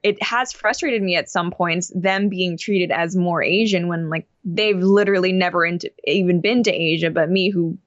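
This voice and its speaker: A woman's voice: on the low side, fairly even intonation, moderate pace, hardly any pauses, no room sound, muffled recording. A young woman as an interview guest, talking in a thoughtful, casual way with many fillers and restarts.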